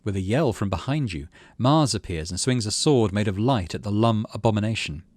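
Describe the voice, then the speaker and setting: A man's voice: lowish voice, animated, a little fast, darkish tone, dry recording. A man hosting a video game playthrough, reading the story text aloud and adding casual commentary. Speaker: gaming channel host.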